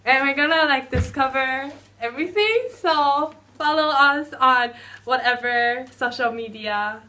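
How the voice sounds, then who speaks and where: A young woman introducing herself to a camera in a small office, with many fillers and pauses. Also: sits high, pushed and bright. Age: young adult. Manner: hesitant, filler-heavy. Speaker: team member. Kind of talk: casual self-introduction. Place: small office with green walls. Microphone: far camera. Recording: distant camera, faint hiss.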